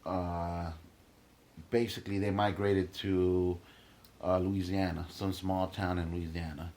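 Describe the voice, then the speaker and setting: A young man with a fairly low voice, talking as a comedy guest in a relaxed, unscripted way. He swears freely and punches his points with sudden loud, excited leaps in pitch. The flow is emphatic and raw. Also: takes it slowly, a little hiss or hum. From Los Angeles, United States. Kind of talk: casual interview talk.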